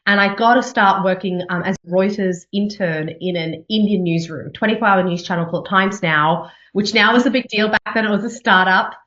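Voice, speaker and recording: A woman's voice: medium pitch, moderate pace, hardly any pauses, fairly bright tone. A woman in her forties with an Australian accent, talking about her career as a journalist in a chatty interview, with many fillers and restarts and easy laughter. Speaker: journalist and news anchor being interviewed. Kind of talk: conversational interview. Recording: echoey room, slightly dull sound.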